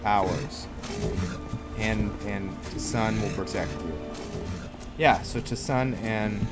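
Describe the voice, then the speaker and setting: Slow-talking man doing casual game commentary. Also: on the low side. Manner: laid-back, rambling, talks to game characters. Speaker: gaming YouTuber, host of a roleplay let's play. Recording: game music and rain behind voice. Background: loud game music, rain and thunder.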